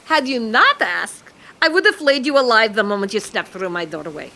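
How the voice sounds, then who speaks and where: A woman playing an ancient, menacing and haughty witch in an audio drama, speaking in formal, archaic, deliberate phrases. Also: fairly high pitch, animated, pushed and bright. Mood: amused, threatening. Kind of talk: audio fiction acting.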